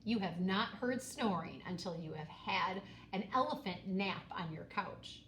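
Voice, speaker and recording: Animated woman reading a storybook aloud, roomy echo. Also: fairly low pitch. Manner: dramatic, with pauses and emphasis on key words. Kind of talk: expressive children's read-aloud narration. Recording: roomy echo, faint background noise.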